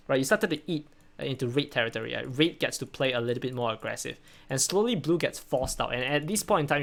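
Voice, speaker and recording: A man's voice: fairly high pitch, a bit bright. A young man from Singapore with a Singaporean English accent, casually analyzing a game replay on a stream, rambling and checking in with "right?" a lot. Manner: rambling, repeats words, says "right?" often. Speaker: streamer and coach.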